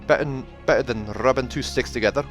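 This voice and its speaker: A man voicing a gruff, suspicious dwarf adventurer who talks bluntly in a fantasy story. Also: medium pitch, quick pace, soft background music, pitched above the performer's own voice, natural voice. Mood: gruff, wary.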